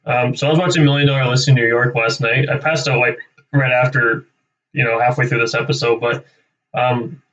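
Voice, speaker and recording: A man's voice: mid-range voice, steady tone, fairly quick, fairly bright tone. A young man chatting casually and off the cuff on a livestream, rambling and thinking aloud. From Canada. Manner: rambling, thinking aloud, tags sentences with "right?". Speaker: realtor hosting a live cold-calling stream. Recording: distant, slightly dull microphone.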